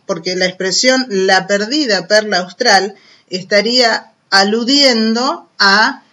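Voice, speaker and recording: This is an Argentine radio presenter on a children's programme, with a forward, bright voice. The delivery is chatty and explanatory, aimed directly at young listeners, at a middling pitch.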